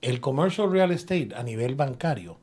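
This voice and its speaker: A jovial man hosts a live radio talk show from the booth, speaking at an everyday pitch. He banters with his guests and mixes English words into his talk. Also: lively intonation, normal speed, a little mellow.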